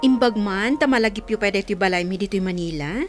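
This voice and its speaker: A woman's voice: medium pitch, brisk, over music, close to an everyday voice. A woman playing a polite, earnest young married woman in a radio drama, deferential, with an Ilocano accent. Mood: friendly, respectful.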